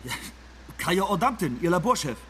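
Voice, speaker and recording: An actor playing a polite but frustrated young forensic scientist in a radio drama, hesitant and questioning. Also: male voice, sits high, very animated, moderate pace, bright, forceful tone, slight background noise, exaggerated voice. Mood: exasperated. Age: young adult.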